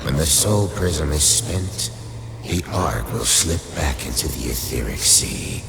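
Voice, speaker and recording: A man playing a commanding undead lich, a skeletal sorcerer, in a dark fantasy radio play, speaking gravely and formally. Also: rumbling low, sing-song, slow pace, noisy background, exaggerated voice. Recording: cavernous echoing reverb, music underneath.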